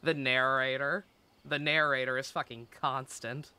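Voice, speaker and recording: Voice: medium pitch, flat delivery, a little slow, bright, forceful tone, clean tone. A game streamer chatting casually over gameplay on a live stream, sarcastic, joking and sweary.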